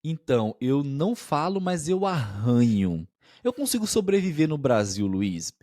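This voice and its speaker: A man of average pitch explains expressions to learners, patient and methodical, often pausing to check that they follow. His voice sits close to the mic in a dry, dead room with no echo. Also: sing-song, mellow. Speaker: podcast co-host and language teacher.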